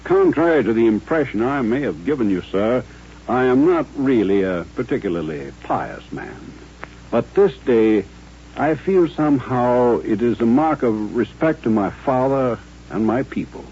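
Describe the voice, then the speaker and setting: An actor playing a solemn, courteous Southern gentleman colonel with a formal, reflective manner in an old radio western. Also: mid-range voice, expressive, measured pace, slight background noise, natural voice. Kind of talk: 1950s radio western acting. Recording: dull, humming old-time radio recording.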